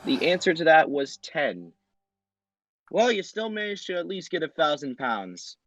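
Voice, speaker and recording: A man's voice: fairly high pitch, sing-song, a little slow, fairly bright tone, no echo. A man hosting a quiz show, addressing contestants directly in a presenter's delivery. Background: background music.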